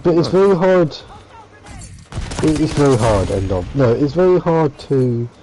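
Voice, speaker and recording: A man's voice: mid-range voice, expressive, measured pace, warm tone, a little hiss or hum, low-quality recording. A young man chatting and teasing his co-host on a casual gaming stream, rambling and laughing often.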